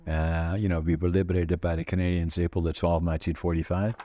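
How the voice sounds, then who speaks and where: A man in his eighties with a Dutch accent, telling stories from his life in a halting, rambling way, full of fillers and restarts. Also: sits low, flat delivery, warm tone. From Northern Netherlands. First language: Dutch regional dialect. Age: 80s. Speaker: podcast host. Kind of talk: casual conversational storytelling.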